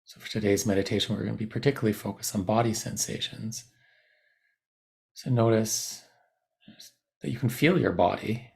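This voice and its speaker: A man guiding a body scan meditation in a soothing, unhurried manner, leaving long pauses between short phrases. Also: on the low side, gravelly. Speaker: meditation guide. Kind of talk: guided body scan meditation, unscripted instruction.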